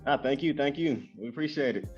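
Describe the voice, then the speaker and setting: Man, interview host, very quick talker, loose and chatty, muffled lo-fi audio. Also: mid-range voice. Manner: fast, animated, lots of fillers and repeats. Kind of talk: casual interview. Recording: dull lo-fi sound with faint music.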